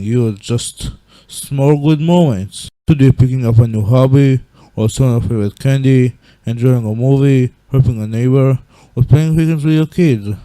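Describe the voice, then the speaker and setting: Man voicing a deep, gentle monster dad. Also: dry recording, close to an everyday voice, rough recording. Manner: slow, reassuring, fatherly advice. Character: big gentle goat-monster father, warm, weary, hopeful. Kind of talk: comic dub voice acting.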